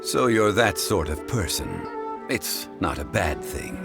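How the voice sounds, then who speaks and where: A man playing a composed, scholarly funeral consultant in a video game, speaking formally and eloquently in measured phrases. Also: low-pitched, very animated, gravelly, music in the background, full character voice. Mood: calm, reflective. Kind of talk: scripted video game character dialogue.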